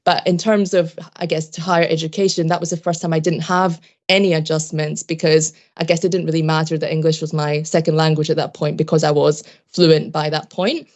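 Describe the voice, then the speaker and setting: A young woman with a low voice, from Japan and raised in Scotland, with Japanese as her first language. She talks as a podcast guest, reflective and measured, hedging her points as she goes. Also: flat delivery, darkish tone. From Japan, raised in Scotland from age ten. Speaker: podcast guest, PhD student. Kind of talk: casual interview talk.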